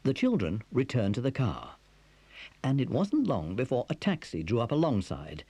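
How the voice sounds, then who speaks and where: A man's voice: medium pitch, wildly swooping pitch, normal speed, warm tone, slightly rough, no echo, exaggerated voice. A man narrating a scripted children's adventure story as its storyteller. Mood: measured storytelling.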